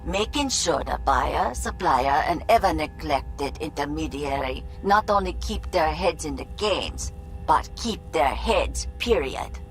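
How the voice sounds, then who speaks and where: A woman playing a shrewd older fixer, dry, imperious and deliberate, with formal phrasing in a video game. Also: deep voice, lively intonation, faint music behind, rough recording. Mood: stern, warning.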